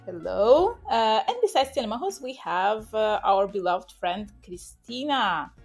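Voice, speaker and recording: A woman's voice: mid-range voice, expressive, a little slow, husky, soft background music. A woman hosting a podcast interview in a casual way, full of hesitant filler sounds. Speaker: podcast host.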